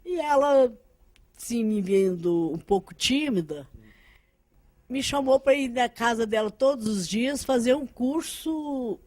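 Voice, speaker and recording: A woman's voice: on the high side, expressive, slow, a little mellow. Woman, native Arabic speaker, recounting her past. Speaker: interview guest. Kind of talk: personal recollection in conversation.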